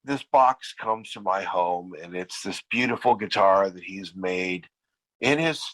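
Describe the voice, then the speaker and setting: Brief: man, lowish voice going hoarse, dry close sound, rambling band-story guest. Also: measured pace, fairly bright tone, no echo, poor audio. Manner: rambling storyteller with many restarts. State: losing his voice. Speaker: podcast guest, band frontman. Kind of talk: casual interview chat.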